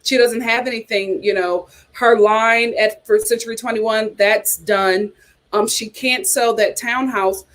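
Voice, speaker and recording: A young woman hosting a casual reality TV chat, sassy and animated, talking straight to her viewers. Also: on the high side, a little slow. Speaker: host.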